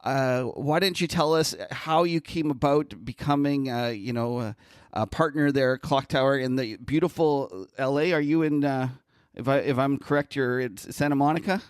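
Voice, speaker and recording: A man co-hosting a casual interview podcast, talking in an easygoing, halting way that often restarts sentences. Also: mid-range voice, clean tone, dry recording, studio quality.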